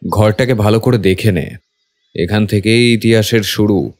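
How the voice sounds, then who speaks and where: A man playing a brisk, authoritative police detective in an audio drama, curt and guarded in his questioning. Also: fairly low pitch, lightly acted. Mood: firm, curt. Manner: curt, questioning, mixes in English phrases. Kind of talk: acted dialogue with background music.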